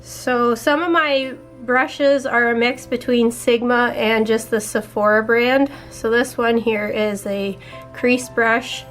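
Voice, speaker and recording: A young woman chatting casually while doing her makeup, her voice a little raspy, walking through each step in a relaxed way. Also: on the high side, takes it slowly, soft background music. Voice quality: slightly raspy. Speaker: beauty vlogger.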